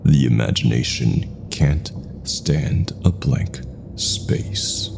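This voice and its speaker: Very deep, gravelly male horror narrator. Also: animated, drawn-out words, noisy background. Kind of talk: scripted first-person horror narration.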